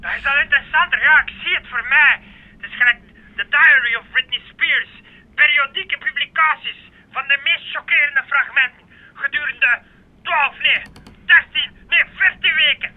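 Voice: high voice, normal speed, narrow, tinny audio, lightly acted. An actor playing a blustering, foul-mouthed newspaper editor who rants theatrically in a comic radio drama. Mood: excited scheming. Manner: ranting, swearing, theatrical exclamations.

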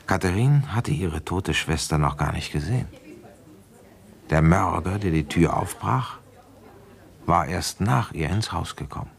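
An actor playing a weary, shrewd provincial policeman recounting an old case in a radio play, deliberate, conversational and wry. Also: deep voice, lively intonation, gravelly, husky, heavily acted. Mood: wry, reminiscing. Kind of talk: German radio play, first-person narration and dialogue.

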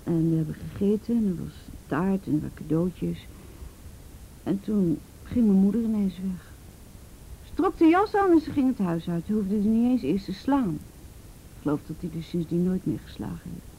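A woman's voice: mid-range voice, expressive, moderate pace, dark tone, a little hiss or hum, lightly acted, poor audio. An actress playing a frightened teenage girl in a Dutch radio play, stammering and repeating words as she recounts painful memories. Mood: shaken, subdued.

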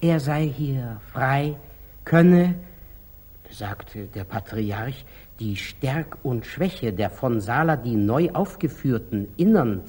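Slow, husky, humble lay brother. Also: male voice, medium pitch, a little mellow. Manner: hesitant, meek, deliberate. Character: humble, artless, obedient monastery lay brother. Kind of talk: 1940s German radio stage acting.

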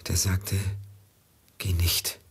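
An actor playing a dazed, fearful and fatalistic merchant master in a German radio play, halting and bewildered, with a gravelly, husky voice. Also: male voice, sits low, little pitch movement, a bit bright, whispered, heavily acted. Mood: haunted.

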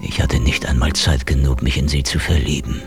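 Casting: hardboiled, wisecracking KGB man, extremely deep, sardonic deadpan, noir radio play over prominent music. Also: a little fast. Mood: brooding, cynical. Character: hardboiled cynical male KGB investigator, hard-drinking, foul-mouthed, wisecracking. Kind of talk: noir radio drama, first-person narration and dialogue. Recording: music behind the voice.

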